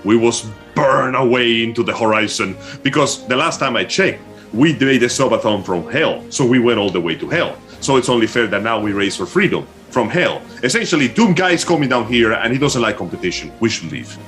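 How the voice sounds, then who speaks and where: A man's voice: medium pitch, lively intonation, a little fast, few pauses, fairly bright tone, soft background music. A man co-hosting a live stream, joking casually with theatrical, mock-grand phrasing. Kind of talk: casual joking panel chat.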